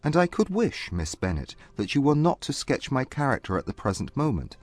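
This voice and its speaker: A man playing a proud, reserved young Regency gentleman, speaking gravely and formally in a dramatized audiobook. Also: mid-range voice, sing-song, a little fast. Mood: grave, guarded.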